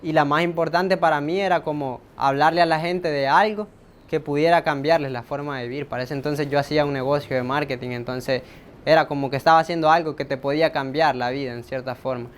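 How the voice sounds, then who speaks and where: A man's voice: steady tone, brisk. A man with a Salvadoran accent giving a casual, reflective personal testimony in an interview, repeating words as he thinks. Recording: faint low rumbling background noise.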